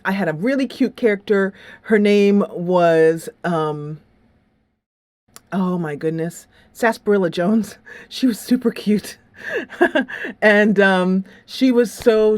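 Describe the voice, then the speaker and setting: A woman's voice: medium pitch, no room sound. A woman hosting a game channel, talking casually and playfully in slangy, informal solo commentary. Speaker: game reviewer and channel host.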